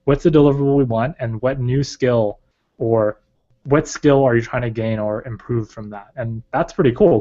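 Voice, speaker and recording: A man's voice: mid-range voice, warm tone. A man who grew up in China and whose first language is Chinese. He is an interview guest and research advisor who talks casually and thoughtfully, with many fillers. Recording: slightly dull lo-fi recording.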